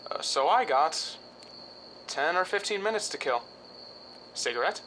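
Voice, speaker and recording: A man's voice: a little high, lively intonation, a little slow, pushed and bright, a little hiss or hum, poor audio. A man playing an offhand but menacing accomplice in a radio drama, with casual, clipped delivery.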